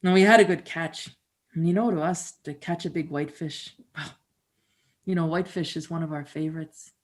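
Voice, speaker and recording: A woman voicing an elder woman who recalls a family fishing trip in a gentle, storytelling manner. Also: low-pitched, steady tone, fairly quick, close to an everyday voice. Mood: reminiscing.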